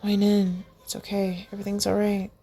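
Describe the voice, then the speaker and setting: A woman voicing a kind, gentle young man in a mask who talks in a soft, reassuring way, in a storybook reading. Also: mid-range voice, completely flat delivery, normal speed, slightly rough, soft background music. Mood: comforting.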